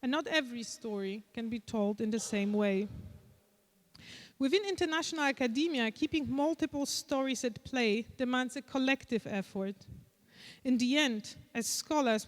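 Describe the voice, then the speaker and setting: A woman with a Polish accent, a conference speaker, reading a prepared lecture in a measured, deliberate way. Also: on the high side, long reverb tail. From Poland. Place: large conference hall.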